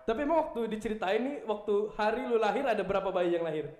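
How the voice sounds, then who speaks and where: A man's voice: sits high, expressive, quick pace, noisy background. A young man, co-hosting a podcast with joking, slangy banter. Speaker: podcast co-host. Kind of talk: casual podcast chat. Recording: echoey room.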